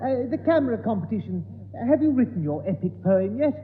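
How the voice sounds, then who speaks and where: High-voiced, flustered English gentleman. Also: very animated, a little fast. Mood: hesitant. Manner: flustered, hesitant, polite. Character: timid, fussy, hesitant gentleman marriage broker. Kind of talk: 1960s radio sitcom acting. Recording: tinny hissy 1960s radio, echoey hall.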